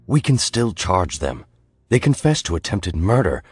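A man's voice: sits low, big pitch swings, normal speed, slightly rough, no room sound, full character voice. A man voicing a weary middle-aged police commissioner who speaks earnestly and insistently. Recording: faint music behind.

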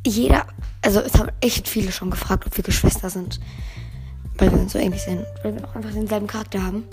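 A hoarse teenage girl hosting her own podcast, chatting casually and rambling, often correcting herself. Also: mid-range voice, steady tone, fast, over music. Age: teens. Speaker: podcast host. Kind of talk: casual solo podcast chat.

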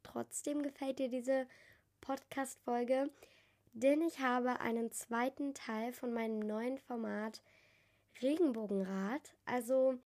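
A woman's voice: a little high, steady tone, takes it slowly, a little mellow. A teenage girl hosting her own podcast, chatting earnestly to her listeners while stuffed up with a cold. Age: teens. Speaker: podcast host. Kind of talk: casual solo podcast talk.

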